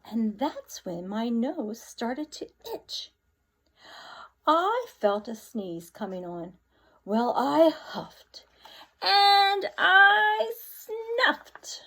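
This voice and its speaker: A woman voicing a chatty, self-excusing male wolf from a children's picture book, with exaggerated, drawn-out emphasis. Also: sits high, sing-song, sharp tone, pitched above the performer's own voice, exaggerated voice. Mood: animated storytelling.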